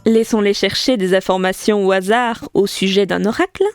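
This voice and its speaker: A woman's voice: mid-range voice, fairly even intonation, normal speed, clear, resonant tone, dry recording, clean studio sound, soft background music, close to an everyday voice. A woman playing a wry, teasing storyteller, narrating a scripted audio comedy adventure theatrically.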